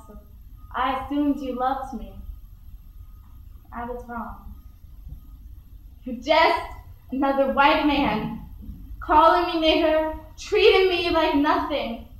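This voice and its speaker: A young teenage girl performing a stage monologue as a grieving, embittered Southern widow in prayer, deliberate and impassioned. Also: sits high, voice pushed up. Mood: accusing, grieving. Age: early teens. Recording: very echoey hall performance.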